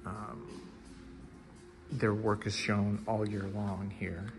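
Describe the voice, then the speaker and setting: Man, fairly low gravelly voice, informal murmured asides, walking art commentary, handheld phone, music behind. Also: flat delivery, takes it slowly. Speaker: artist vlogger touring an art gallery. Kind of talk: casual musing commentary while walking. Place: large indoor art gallery with hard floors.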